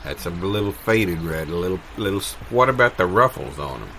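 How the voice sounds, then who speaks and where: Man playing a very deep-voiced folksy grumbler. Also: animated, fairly quick, pushed and bright, heavily acted. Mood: picky. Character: folksy, picky, grumbling man digging with his pal. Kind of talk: improvised audio comedy sketch. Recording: clear background ambience noise.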